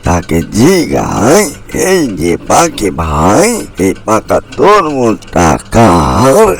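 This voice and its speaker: Cartoon crow, played by a man: eager and restless, low-pitched but leaping up dramatically, music faintly behind. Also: huge pitch swings, drawn-out words, full character voice. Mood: excited, curious. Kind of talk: animated fairy-tale cartoon dialogue.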